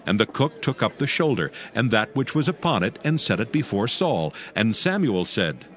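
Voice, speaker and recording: A man's voice: lowish voice, big pitch swings, normal speed. A man narrating a dramatized Bible audiobook in a formal storytelling delivery. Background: background music.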